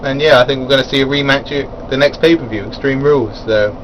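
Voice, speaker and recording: A man's voice: medium pitch, fast talker, fairly bright tone, slight background noise, low-quality recording. A man giving a casual, rambling spoken review of a wrestling event, full of fillers and chuckling at his own asides.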